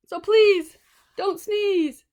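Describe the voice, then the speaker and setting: A woman's voice: very high voice, very slow, a little mellow, pitched above the performer's own voice, heavily acted. A woman voicing a worried, pleading hippopotamus in a children's storybook. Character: pleading hippopotamus worried about falling over. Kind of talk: children's storybook read-aloud.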